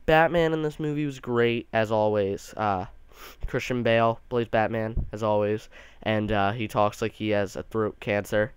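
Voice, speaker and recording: A man's voice: on the low side, fairly even intonation, moderate pace, clean tone, no room sound. A young man giving a casual, rambling movie review, sounding a bit nasal from allergies, with many restarts.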